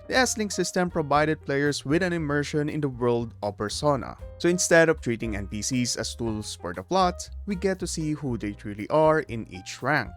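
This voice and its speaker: A man hosts a scripted video essay with a lively, swinging intonation. His delivery is emphatic, dotted with jokey asides. Light music plays behind his mid-pitched voice.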